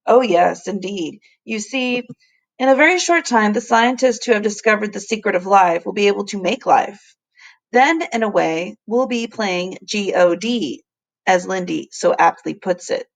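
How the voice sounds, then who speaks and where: A woman voicing an earnest, kindly male professor in a storybook, explaining things deliberately and with emphasis. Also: mid-range voice, gravelly, poor audio. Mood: grave, earnest.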